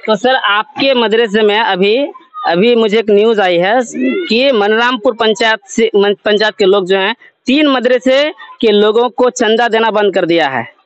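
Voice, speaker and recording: A man's voice: high-pitched, measured pace, lo-fi sound. A man with a Jharkhand Hindi accent working as a local news reporter, putting long, probing questions to a madrasa panel in a field interview. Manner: probing, speaks at length with restarts.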